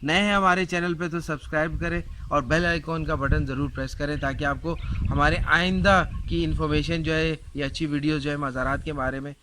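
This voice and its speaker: A man speaking as a vlogger to his viewers in an informal, devout and somewhat halting way. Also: on the high side, fairly even intonation, pushed and bright, slight background noise, low-quality recording. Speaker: vlogger, channel host. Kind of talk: informal vlog talk, thanking and appealing to viewers.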